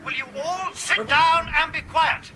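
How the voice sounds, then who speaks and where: An actor playing a stern, commanding airline captain speaking with authority in a raised voice in a radio drama. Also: male voice, very high-pitched, a little slow. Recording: noisy drama scene with crowd clamour.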